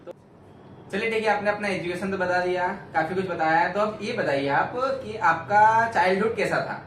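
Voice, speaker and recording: A man's voice: high-pitched, normal speed, a bit bright. A man acting as interviewer, affirming the answers and putting the next question to the audience. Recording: echoey room, far from mic, noisy.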